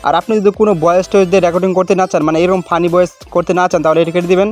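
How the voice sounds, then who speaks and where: A man's voice: high-pitched, fairly even intonation, rapid-fire, darkish tone, soft background music. A man with a Bangladeshi accent hosting a casual step-by-step tutorial in colloquial, repetitive instructions. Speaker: tutorial video host.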